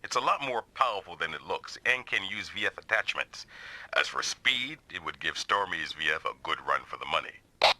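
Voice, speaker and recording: A man's voice: low voice, expressive, normal speed, bright, forceful tone, a little hiss or hum, heavily acted. An actor voicing a big, burly, good-natured but touchy male mech pilot in an anime-style video game, theatrical and blustering. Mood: boastful.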